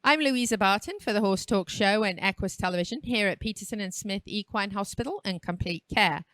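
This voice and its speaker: A woman's voice: medium pitch, brisk, a bit bright, no echo. A woman hosting a talk show, chatting with a guest and asking open questions. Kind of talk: interviewing a guest. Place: equine hospital.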